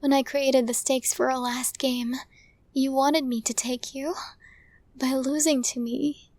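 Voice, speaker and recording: A woman performs a possessive yandere mafia lady in an intimate ASMR roleplay. Her voice is fairly high and breathy, with very slow, deliberate and formal phrasing. She is lovestruck and intense, recorded close and dry. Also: level tone, a little mellow, dry recording. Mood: distraught. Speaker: voice actor in romantic audio roleplay.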